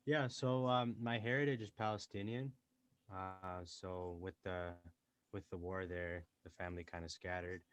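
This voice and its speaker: A young man with a very deep, low voice talks in an easygoing, chatty way, full of fillers and little quoted asides. The sound is close and dry, with almost no room echo. He is recording from a small closet he uses as a home studio. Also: moderate pace, clean tone. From born in Dubai, raised in Canada. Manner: relaxed, chatty, many fillers and self-quoting. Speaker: guest, entrepreneur and rapper. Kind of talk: casual interview chat.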